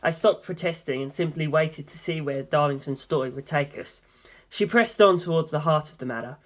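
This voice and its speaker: A woman's voice: low voice, moderate pace. A woman reading scripted story narration in the first person. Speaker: audiobook reader.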